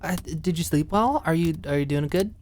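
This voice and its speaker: A woman acting a feisty, protective popular young woman at camp in an ASMR roleplay, talking in a casual, halting, emphatic way. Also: sits low, darkish tone, a little hiss or hum, lightly acted. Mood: warm, flustered.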